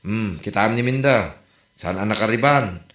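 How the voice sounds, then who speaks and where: A man's voice: lowish voice, measured pace, fairly bright tone. Man acting a grumbling village gossip, echoey room. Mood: gossipy, grumbling. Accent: northern Philippine. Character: blunt, gossipy villager grumbling about a neighbour. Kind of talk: comedy audio drama acting. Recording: roomy, echoey voice recording.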